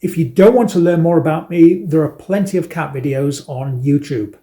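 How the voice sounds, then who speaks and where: A man's voice: on the high side, normal speed. A man in his fifties with a Manchester accent, giving a scripted campaign address with dry, self-mocking humour. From England. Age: 50s. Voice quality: deadpan self-mocking delivery. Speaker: election candidate.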